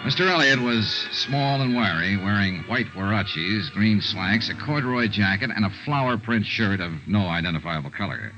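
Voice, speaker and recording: An actor playing a wry, breezy American insurance investigator in a 1950s radio drama, with a brisk, clipped delivery. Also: male voice, fairly low pitch, lively intonation, music in the background, close to an everyday voice. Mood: brisk, matter-of-fact. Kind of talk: 1950s radio detective drama, first-person narration. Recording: dull old 1950s radio recording.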